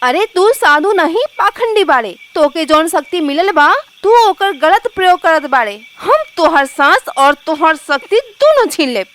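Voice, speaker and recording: A woman voicing a furious young shape-shifting serpent woman in an animated fairy tale, indignant and commanding. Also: extremely high pitch, moderate pace, hardly any pauses, voice pushed up, full character voice. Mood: furious, defiant. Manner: indignant, commanding threats and pleas.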